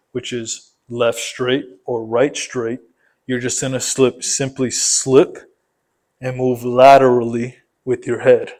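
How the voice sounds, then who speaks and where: A man's voice: medium pitch, a little mellow. A man coaching a boxing workout, explaining it methodically, step by step, in an instructional style.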